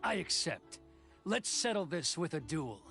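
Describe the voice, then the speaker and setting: Gravelly, haughty stern officer. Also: male voice, on the high side, sing-song, unhurried, sharp tone, faint music behind, exaggerated voice. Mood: curt, commanding. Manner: clipped, haughty. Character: stern, proud commission officer and swordsman, coldly dutiful. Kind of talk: English video game voice acting.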